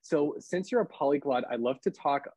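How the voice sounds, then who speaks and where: A man's voice: on the high side, normal speed, a little mellow, slightly rough, no echo. A man in his thirties, an English teacher hosting an interview on a video call, asking polite questions with many "um"s and "uh"s. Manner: filler-heavy, polite questions. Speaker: podcast host, English teacher. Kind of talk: interview host.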